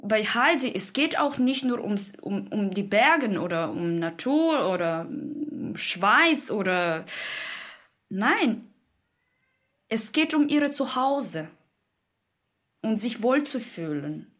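Slow, emphatic non-native woman. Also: mid-range voice, very animated, a bit bright. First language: not German. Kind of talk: unscripted explanation.

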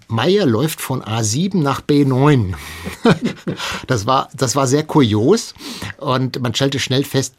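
Male interview expert, low-pitched and rough-edged, conversational explainer with an anecdotal bent. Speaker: radio history expert, interview guest.

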